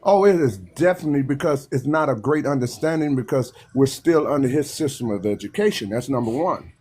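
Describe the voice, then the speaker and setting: A man's voice: medium pitch, animated, darkish tone, slightly rough. A man from Texas, making his point with emphasis and repeated restarts in a live panel discussion. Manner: emphatic, repetitive, halting restarts. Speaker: panel speaker.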